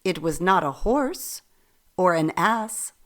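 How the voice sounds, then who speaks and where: A woman narrating a radio play as a storyteller, reading in a measured way. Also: lowish voice, expressive, a bit bright.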